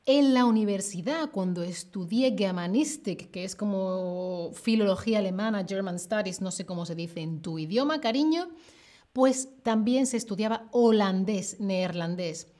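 A woman, teaching on a live stream and answering viewers' chat questions in a lively, expressive way. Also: mid-range voice. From Spain, Seville. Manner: lively and expressive, slows down to spell out words clearly. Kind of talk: live-stream Spanish lesson, chatting with viewers.

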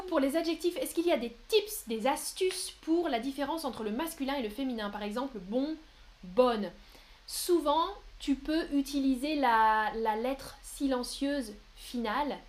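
A young woman hosting a live French lesson, patiently answering viewers' questions from the chat and spelling out examples. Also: high voice. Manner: slow, clear and patient, often reading chat names aloud.

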